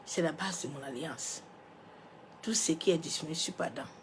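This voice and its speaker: A woman pastor with a cold, preaching a sermon. She swings from loud, emphatic declarations to soft, near-whispered asides and drops little rhetorical interjections as she goes. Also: deep voice, a little fast, a little hiss or hum. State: has a cold, coughing.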